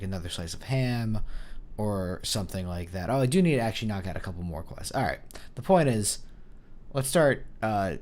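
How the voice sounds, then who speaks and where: A man with a hoarse voice from a cold, giving casual, self-mocking commentary over his own gameplay, thinking aloud and getting excited at small wins. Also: fairly low pitch. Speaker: gaming YouTuber.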